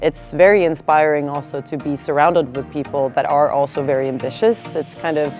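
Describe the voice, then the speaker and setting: A woman's voice: deep voice, fairly even intonation, fairly quick, a little mellow, faint music behind. A woman, a founder speaking thoughtfully in English on a panel and often saying "kind of". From Norway. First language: Norwegian. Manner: thoughtful, with many "kind of" fillers. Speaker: startup founder. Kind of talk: panel discussion.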